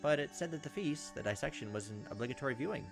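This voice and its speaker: A man's voice: medium pitch, expressive, creaky, over music. A man reading visual novel text aloud as a let's play host, moving between narration and casual asides. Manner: pauses to comment on the text.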